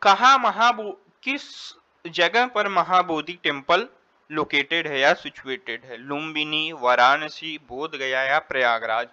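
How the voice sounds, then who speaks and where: A man's voice: on the high side, a little slow, sharp tone, dull audio. A man with an Indian accent teaching an exam quiz, reading questions and options, then repeating and rephrasing them as he mixes Hindi with English. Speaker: exam tutor. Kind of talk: quiz lesson, reading questions and explaining answers.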